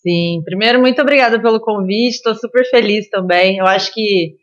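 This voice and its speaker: A woman's voice: medium pitch, normal speed, muffled recording. A talkative woman with a Brazilian accent, speaking informally as a journalist guest in a casual podcast interview. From Ribeirão, São Paulo state, Brazil.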